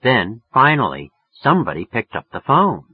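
A man's voice: mid-range voice, wildly swooping pitch, moderate pace, breathy edge, dry recording, narrow, tinny audio. A man narrating a novel in the first person, in a colloquial, confiding way. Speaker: audiobook narrator.

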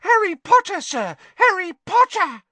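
A man voicing a small, devoted house elf who squeals with wild excitement in a storybook. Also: a little slow, no echo, full character voice. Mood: ecstatic. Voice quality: squealing, shrill. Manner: breathlessly eager, servile.

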